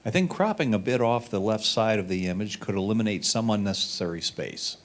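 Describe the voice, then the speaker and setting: A man with a fairly low voice reads written critiques aloud. He passes on a judge's comments as a reader at a competition. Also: expressive, a little fast.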